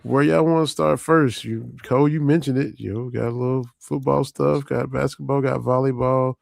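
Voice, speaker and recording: Hoarse, gravelly podcast host. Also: male voice, medium pitch, fairly even intonation, moderate pace, a little mellow, no echo, clean studio sound. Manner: loose, rambling, repeats himself. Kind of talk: casual sports chat.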